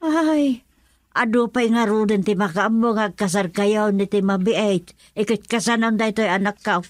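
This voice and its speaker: An actress with an Ilocano accent playing an excitable, prying woman in a radio love drama. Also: mid-range voice, normal speed, dry recording, clean studio sound, natural voice. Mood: questioning.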